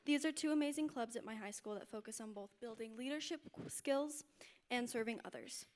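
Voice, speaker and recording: High-voiced earnest teenage young woman. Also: brisk, darkish tone. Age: late teens. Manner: earnest, formal, articulate. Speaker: panel guest, student speaker. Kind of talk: prepared panel answers. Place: indoor luncheon event before an audience.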